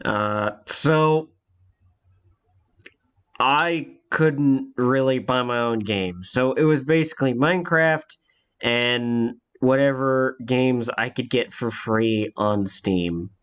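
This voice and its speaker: A man's voice: medium pitch, slow, a bit bright, clear, resonant tone. A congested young man telling a rambling personal story in a casual vlog, with many fillers and restarts. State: congested from a cold.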